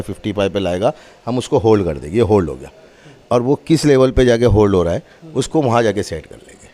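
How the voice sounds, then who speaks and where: A man's voice: on the low side, dark tone. A man gives a spoken demonstration, explaining in an emphatic, repetitive, instructive way. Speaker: mosque representative demonstrating loudspeaker equipment.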